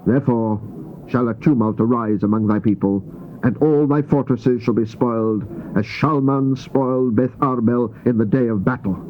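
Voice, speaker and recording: A man's voice: medium pitch, natural voice. Man acting stern prophet on noisy, dull tape. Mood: stern exhortation. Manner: solemn, declamatory. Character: stern male Old Testament prophet proclaiming God's judgment. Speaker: scripture reader. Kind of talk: dramatized King James Bible reading.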